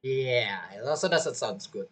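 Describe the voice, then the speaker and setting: A man's voice: medium pitch, lively intonation, measured pace, a bit bright. A man streaming a game, giving brief, offhand reactions in casual live commentary. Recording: game music behind the voice.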